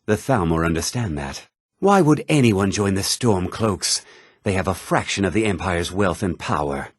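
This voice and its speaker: A haughty nobleman from a fantasy game, speaking in a fairly low, husky voice. His delivery is pompous and theatrical, with lively swings in pitch and a condescending air. The recording is close and dry. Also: moderate pace, dry recording. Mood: smug. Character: haughty, self-important male noble in a fantasy realm. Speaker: video game voice actor. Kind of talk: scripted fantasy game dialogue lines.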